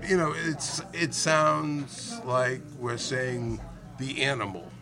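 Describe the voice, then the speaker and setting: A man's voice: mid-range voice, slow, creaky, over music. A man from Boston, telling reflective stories and explaining his point at length in a casual group chat. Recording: noisy crowded room.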